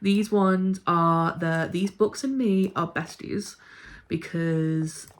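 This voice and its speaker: A woman with a slightly low voice talks in a casual, informal vlog style. She reviews books in an emphatic, gushing manner, as if chatting to followers. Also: takes it slowly. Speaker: book reviewer vlogger. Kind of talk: casual chat ranking books.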